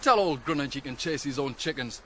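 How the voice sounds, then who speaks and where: A young farm lad in a 1980s radio drama. He talks bluntly, with a teasing, fatherly streak, at a middling pitch over faint background noise. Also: expressive, fairly quick, sharp tone. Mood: loud, teasing. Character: nineteen-year-old farm lad, male, teasing, blunt but fatherly.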